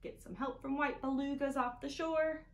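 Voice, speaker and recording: A woman's voice: sits high, fairly even intonation, normal speed. A young woman leading a picture-book storytime for children, talking to them encouragingly and inviting them to join in. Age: young adult. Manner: child-directed, encouraging participation. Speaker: children's librarian reading storytime. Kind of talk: picture-book storytime read-aloud, partly sing-song. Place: small indoor room on a couch. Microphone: camera a few feet away. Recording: echoey room, some background noise.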